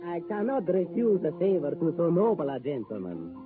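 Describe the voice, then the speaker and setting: A wily haggling elephant owner. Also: male voice, fairly high pitch, wildly swooping pitch, normal speed. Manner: pleading, theatrical haggling. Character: wily bargaining elephant owner, adult man. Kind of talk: 1940s radio acting. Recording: tinny old radio broadcast with music.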